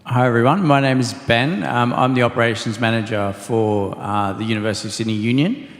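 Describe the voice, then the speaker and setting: A man's voice: mid-range voice, a little fast. A man introducing himself to a live audience as a panellist and manager. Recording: echoey hall reverb.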